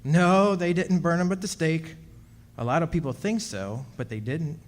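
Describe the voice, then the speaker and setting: A man's voice: on the high side, normal speed, lightly acted. An actor playing an uneasy immortal man, telling his story in a confiding, conversational way in a radio drama revival. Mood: confiding, grim. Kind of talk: stage revival of 1940s radio drama narration.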